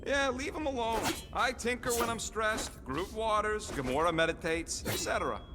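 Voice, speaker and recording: An actor playing a scrappy little-tinkerer creature in a video game, blunt and informal. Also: male voice, sits high, normal speed, over music, close to an everyday voice. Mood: casual, blunt.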